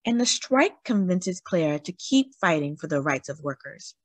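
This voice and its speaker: A woman reading a picture book aloud in a dramatic, emphatic storytelling manner with deliberate pauses. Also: fairly low pitch, very animated, dry recording. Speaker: children's read-aloud story host. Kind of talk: expressive picture book narration.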